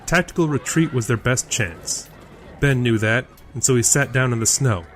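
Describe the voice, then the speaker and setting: A man's voice: medium pitch, lively intonation, normal speed, faint music behind. A man reading scripted narration for a fantasy audio drama.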